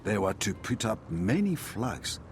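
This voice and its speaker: A man's voice: lowish voice, very animated, unhurried. A man playing a solemn, resolute samurai chronicler, giving dramatic scripted historical narration for a game cutscene over music. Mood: solemn storytelling. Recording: music behind the voice. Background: cinematic music.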